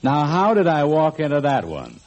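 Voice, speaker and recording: An actor playing an easygoing, wry homicide lieutenant in 1940s radio drama, with slangy, dryly joking delivery. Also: male voice, a little high, huge pitch swings, normal speed, a little mellow, muffled recording.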